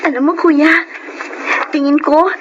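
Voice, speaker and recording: A woman's voice: sits high, steady tone, a little slow, lo-fi sound, noisy background. A woman with a Filipino accent playing a caring, encouraging younger sister in a radio drama. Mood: playfully encouraging. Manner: affectionate, encouraging. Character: caring, teasing younger sister of a grown man.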